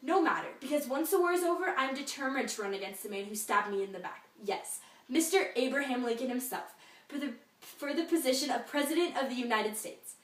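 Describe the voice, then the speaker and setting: A distant, echoing recording of a proud Civil War general performed by a woman in a fairly high voice. The delivery is formal, pompous oratory, full of boastful bitterness over being dismissed, like a stage soliloquy. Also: a little fast, natural voice. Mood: vengeful, confident. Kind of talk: memorized history class soliloquy. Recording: echoey room, distant microphone.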